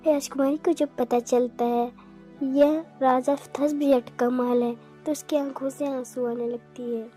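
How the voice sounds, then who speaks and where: High, slow female story narrator. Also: steady tone, warm tone, faint music behind. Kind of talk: scripted children's story narration.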